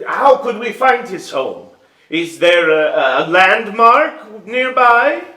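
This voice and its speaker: A man's voice: high voice, big pitch swings, slow, bright, forceful tone, exaggerated voice. A man playing a jovial, restless king in a staged musical reading, speaking in a grand, formal, theatrical manner in a roomy live recording. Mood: warm, earnest. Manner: theatrical, grand, formal old-fashioned phrasing. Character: jovial, restless king, fond of his bumbling young page.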